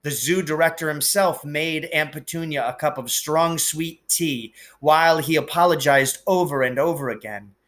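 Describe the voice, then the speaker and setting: A man reading a storybook aloud in an expressive, measured and deliberate narration. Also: fairly high pitch. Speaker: storybook reader. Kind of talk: expressive audiobook-style narration.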